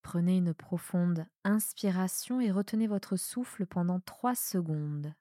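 Calm lady doing guided yoga relaxation, soft voice, really unhurried, close dry sound. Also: lowish voice, no echo. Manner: calm, soothing, measured phrasing with pauses. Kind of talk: guided meditation, relaxation instruction.

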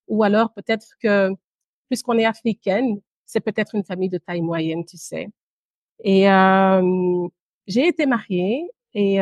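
A woman in her thirties speaks at a middle pitch in a dry recording. She tells her personal story as an interview guest, measured and pausing, dropping in an occasional English word. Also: a little mellow, no echo. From Guinea and Brussels, Belgium. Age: 30s. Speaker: podcast guest, entrepreneur.

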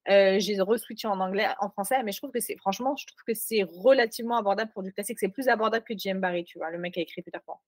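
A woman's voice: medium pitch, rapid-fire, hardly any pauses, no echo. A woman co-hosting a casual book podcast, recorded remotely, talking in an emphatic, joking, slangy way. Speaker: podcast co-host. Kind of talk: casual chat.

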